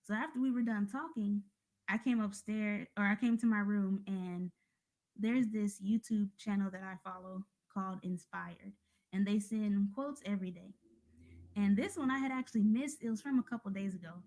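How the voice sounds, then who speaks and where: A woman's voice: medium pitch, steady tone, normal speed, a little mellow, rough recording. A woman with an American accent giving an informal, motivational religious talk, speaking conversationally and straight to her listeners. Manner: conversational, addressing listeners directly.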